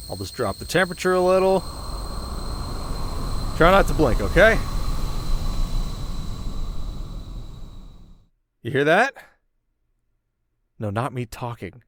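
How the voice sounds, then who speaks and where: A man's voice: a little high, fairly bright tone, full character voice. A man playing a cocky, playful winter spirit in an ASMR roleplay, speaking straight to the listener in flippant, sarcastic, casual banter. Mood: showing off.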